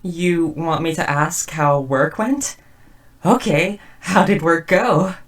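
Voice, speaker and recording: A woman's voice: sits low, slow, distant mic. A woman playing a socially awkward, devoted but murderous robot house spouse in a roleplay audio drama, speaking in stiff, wordy, formal phrases. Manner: stiff, verbose, overly formal wording. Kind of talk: scripted roleplay audio drama addressed to listener.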